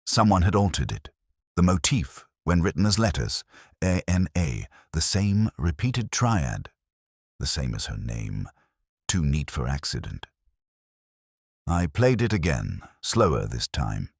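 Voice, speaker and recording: A man playing a weary, melancholy, razor-minded police inspector, narrating a detective audio drama in a measured, literary, brooding way. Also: extremely low pitch, no room sound, clean studio sound, full character voice.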